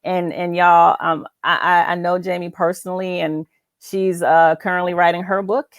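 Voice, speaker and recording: A woman's voice: fairly low pitch, flat delivery, moderate pace, no echo, clean studio sound. A woman hosting a faith-based conversational interview, speaking in an emphatic, affirming way and repeating phrases for emphasis. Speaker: talk show host.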